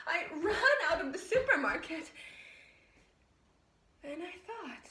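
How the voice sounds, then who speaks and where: A woman playing a frazzled, unhinged woman in a volatile theatrical monologue, bitter about life and swinging between hushed confiding, sobbing and screaming. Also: high-pitched, a little hiss or hum. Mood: hysterical, furious, then hushed, shaken. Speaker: actress performing a one-woman monologue. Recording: roomy echo, distant microphone.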